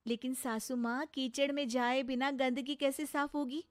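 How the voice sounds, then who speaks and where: A woman's voice: sits high, fairly even intonation, normal speed, lightly acted. A woman voicing a clever young daughter-in-law in a cartoon moral story, assertive, righteous and slyly pointed.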